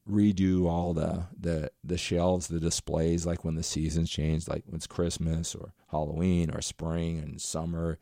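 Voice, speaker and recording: Gravelly deep-voiced man giving a pep talk. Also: mellow, no room sound, clean studio sound. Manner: rambling, earnest, addresses viewers directly. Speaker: motivational vlogger. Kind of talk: casual motivational monologue.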